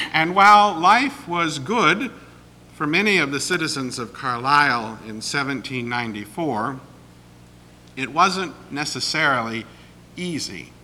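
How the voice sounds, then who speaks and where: A male pastor preaching a sermon in a slow, deliberate way. He is getting over a cold and sounds a little croaky, clearing his throat now and then. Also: mid-range voice, animated, sharp tone, a little hiss or hum. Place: church sanctuary. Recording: long reverb of a church sanctuary.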